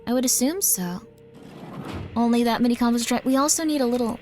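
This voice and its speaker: A woman's voice: a little high, quick pace, darkish tone, soft background music. A woman live-streaming a game, chatting in a bubbly way and often thanking her viewers. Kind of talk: casual live-stream chat while gaming.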